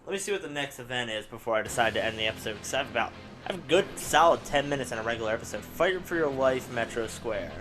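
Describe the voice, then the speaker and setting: A man who is sick with a raspy voice, playing a car combat game and rambling through casual commentary with lots of fillers. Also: mid-range voice, quick pace, music in the background. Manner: rambling, excitable, many fillers. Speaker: let's play gamer. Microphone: desk microphone at home.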